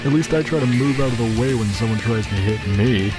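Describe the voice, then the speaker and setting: A man voicing a laid-back, sardonic skeleton in a cartoon comic dub, deadpan and lazy. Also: mid-range voice, fairly quick, lightly acted. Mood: teasing. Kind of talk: comic dub character acting. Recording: loud guitar music behind.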